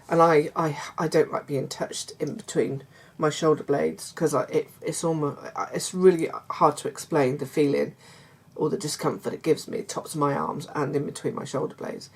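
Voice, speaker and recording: Croaky middle-aged woman chatting. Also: low voice, steady tone, moderate pace, few pauses. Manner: hesitant, many fillers and restarts; warm confiding tone toward viewers. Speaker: vlogger. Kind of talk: casual chat to camera.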